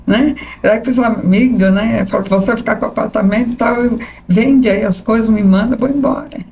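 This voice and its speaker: A woman in her sixties with a São Paulo accent, a journalist telling her life story as a podcast guest, animated and often asking "né?". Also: a little high, a little fast, vocal fry. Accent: São Paulo capital Portuguese. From São Paulo state. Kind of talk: conversational interview storytelling.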